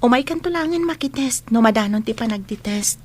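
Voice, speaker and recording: A woman's voice: fairly high pitch, expressive, a little fast, close to an everyday voice. A woman playing a caring, earnest schoolgirl friend in a radio drama. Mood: caring, concerned. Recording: faint background ambience and noise.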